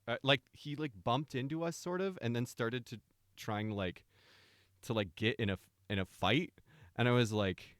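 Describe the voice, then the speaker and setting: A deep-voiced man chatting on a live stream, stumbling through his thoughts with ums and restarts. His low voice is smooth and resonant. It comes through dry and close to the mic. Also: fairly bright tone, dry recording. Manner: hesitant, stumbling, many fillers. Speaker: stream co-host. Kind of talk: casual chat.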